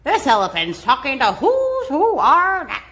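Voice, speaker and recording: Rowdy jungle monkey brothers, as a woman reads them aloud jeer in loud, mocking voices. They are brash and scornful. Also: sits high, huge pitch swings, measured pace, pitched above the performer's own voice, heavily acted.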